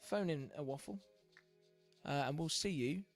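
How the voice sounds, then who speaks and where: A man's voice: on the high side, normal speed, soft background music. A man co-hosting a casual live show, playful and teasing in his banter. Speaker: co-host.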